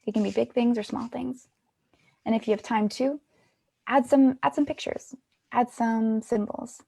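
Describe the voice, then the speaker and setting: A woman's voice: on the high side, fairly even intonation, a little slow, gravelly, dark tone, husky. A woman in her twenties guiding an online creative workshop for young people in an encouraging, reflective way. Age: 20s. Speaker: workshop facilitator.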